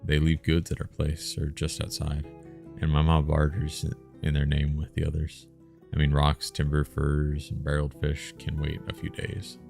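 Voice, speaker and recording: A man with a strikingly deep voice full of fry reads a visual novel aloud in a casual streaming style. He slips between narration and loose banter. Soft music plays behind him. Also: completely flat delivery, moderate pace.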